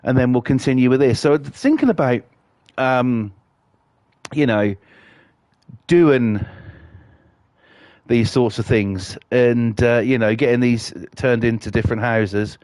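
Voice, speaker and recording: A man's voice: mid-range voice, slow, a little mellow, clean tone, no echo. A man with a cold, chatting casually and swearing while streaming a game, with lots of fillers. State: has a cold.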